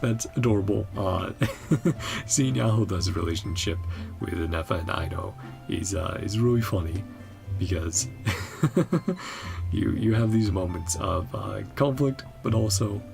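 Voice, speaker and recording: A man hosting a gaming reaction channel, chatting casually in a hesitant, meandering way with frequent soft chuckles. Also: on the low side, unhurried, vocal fry, music in the background. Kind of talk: casual chat, game reaction commentary.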